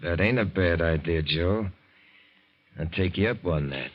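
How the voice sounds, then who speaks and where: A man's voice: low-pitched, a little slow, lo-fi sound, soft background music. A man playing a greedy, scheming small-time crook in a 1940s radio drama, tough and streetwise. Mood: sly.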